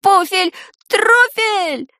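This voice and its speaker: A woman voicing a spiteful, excitable teenage boy wizard from a fantasy storybook, yelling shrilly. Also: very high voice, extremely slow, no echo, voice pushed up, full character voice. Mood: panicked.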